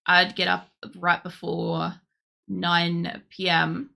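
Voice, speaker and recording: A tired young woman streamer chatting casually while she draws, with a croaky voice and a rambling way of talking full of fillers. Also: fairly low pitch, monotone, slow, sharp tone. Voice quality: croaky, gravelly. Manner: rambling, with many fillers and restarts. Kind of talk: casual chat during a drawing stream.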